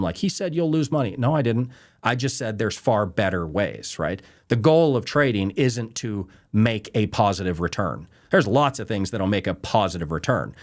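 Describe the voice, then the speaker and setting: A man with a fairly low voice talks through trading ideas in a loose, unscripted way. He stresses his points hard and keeps checking in with his listeners. He grew up in Canada. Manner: conversational, emphatic, lots of "right?" and "you know". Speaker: trading show host answering viewer questions. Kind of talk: casual unscripted explainer.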